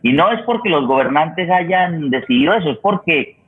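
A middle-aged man with a Colombian accent, hosting a radio forum with halting, deliberate, reflective explanations as he searches for words. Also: on the high side, lively intonation, breathy edge. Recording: thin, phone-like remote line.

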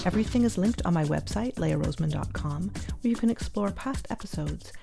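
Low, gravelly woman podcast host. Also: animated, a little fast, over music. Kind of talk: scripted intro and interview questions.

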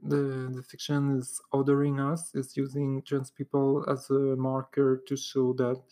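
Slow, low-voiced Québécois woman hosting a podcast. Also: completely flat delivery. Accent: Québécois French. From Quebec, Canada. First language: French. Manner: hesitant, many "uh" fillers and restarts, occasional soft laughs. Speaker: podcast host. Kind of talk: solo podcast monologue.

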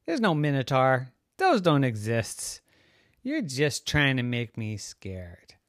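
A man's voice: medium pitch, wildly swooping pitch, takes it slowly, no echo, clean studio sound. Lila, a man doing a bossy little girl. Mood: insistent. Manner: bossy, insistent. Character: confident young girl heroine.

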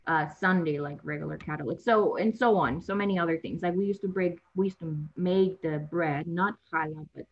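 A young woman with a lowish voice whose first language is Spanish, chatting as an interview guest. She sounds a little nervous, laughs often and trips over her restarts. The recording is dull and lo-fi. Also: a little fast, hardly any pauses. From Latin America. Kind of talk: conversational interview.

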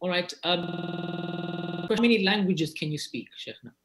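A man's voice: sits high, animated, measured pace, a bit bright. A man hosting an interview, asking a list of questions with a playful, teasing touch. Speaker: interviewer, host.

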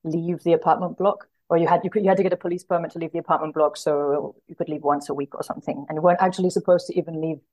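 Woman, low-pitched voice, podcast guest, casual interview chat. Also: fairly even intonation, racing, few pauses, darkish tone, poor audio. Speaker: podcast guest, literary translator.

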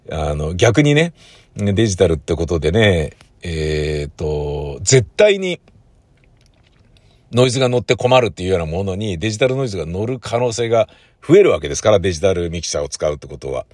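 A man's voice: low-pitched, a little mellow, no room sound. A middle-aged man rambling casually and colloquially on his own as a host, leaning emphatically on key words. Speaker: audio enthusiast host. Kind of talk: casual solo chat.

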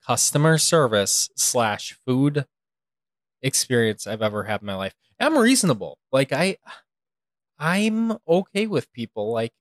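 A man with an American accent chatting casually as a co-host, halting and emphatic, with a jokingly dramatic streak. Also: medium pitch, big pitch swings, no room sound, clean studio sound. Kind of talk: casual chat.

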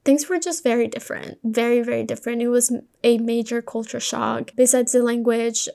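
A woman's voice: on the high side, steady tone, a little fast, gravelly, warm tone. A woman from Colombia, a native Spanish speaker, hosting a podcast and telling a personal story in a candid, informal, conversational way. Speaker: podcast host.

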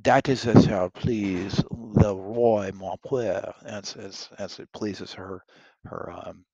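A man reading the part of a shy young French princess, halting and stumbling through broken French and English. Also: on the low side, fairly even intonation, creaky, mellow, no room sound, dull audio, exaggerated voice. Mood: flustered.

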